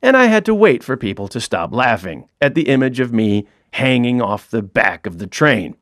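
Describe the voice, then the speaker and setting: A man's voice: medium pitch, big pitch swings, moderate pace, fairly bright tone, no echo, studio quality. A middle-aged man reading his own comic audiobook in a wry, self-mocking storytelling manner.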